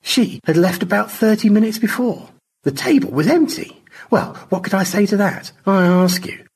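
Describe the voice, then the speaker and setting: A man's voice: high voice, expressive, moderate pace, slightly rough, pitched above the performer's own voice, exaggerated voice. A man voicing an irritable, sardonic space crewman in a storybook, teasing and needling. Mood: indignant.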